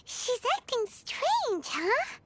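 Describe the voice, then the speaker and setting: A woman voicing a small, excitable, childlike fairy companion in a video game, exclamatory and indignant. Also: very high-pitched, extremely slow, no echo, heavily acted. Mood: puzzled. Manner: refers to self by name, exclamatory, indignant. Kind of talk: English video game voice acting. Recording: loud game music behind.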